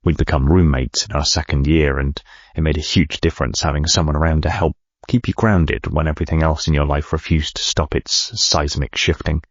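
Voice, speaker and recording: An actor playing a driven company CEO narrating his memoir in a reflective, measured way, with a gravelly, husky voice. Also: a bass voice, fairly even intonation, no echo, dull audio. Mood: wistful. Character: driven male medical company CEO recounting his life. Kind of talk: first-person memoir narration.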